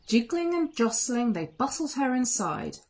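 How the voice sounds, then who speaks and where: A woman narrating a children's story aloud in an expressive storytelling style. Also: fairly high pitch, unhurried, breathy edge. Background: music.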